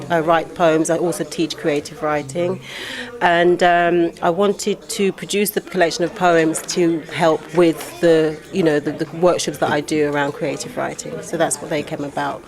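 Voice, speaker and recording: A middle-aged woman from Guyana, an author and poet, talking in a casual interview with many fillers and restarts. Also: lowish voice.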